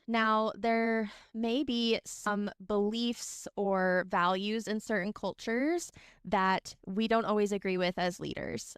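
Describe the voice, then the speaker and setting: Woman podcast host, calm and encouraging, clean dry sound. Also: mid-range voice, smooth, no echo. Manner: calm, affirming, thoughtful. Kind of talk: interview podcast hosting.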